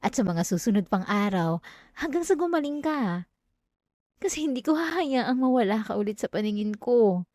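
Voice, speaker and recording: A woman's voice: fairly high pitch, lively intonation, moderate pace, a little mellow, dry recording, natural voice. A young woman with a Filipino accent voicing an obsessive, possessive female classmate in an intimate, dramatic ASMR roleplay, addressing the listener up close. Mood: doting, possessive. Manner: intimate, pleading turning coldly threatening, dramatic. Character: obsessive yandere female classmate, clingy, wounded, menacingly possessive. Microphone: close microphone, dry sound.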